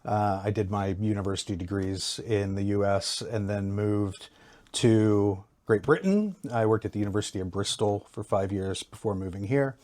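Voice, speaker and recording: American man explaining with measured hesitation. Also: fairly low pitch, a little mellow. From Ohio, United States. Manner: measured, hesitant with frequent ums and restarts. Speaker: psychology lecturer, guest. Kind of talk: explanatory academic talk.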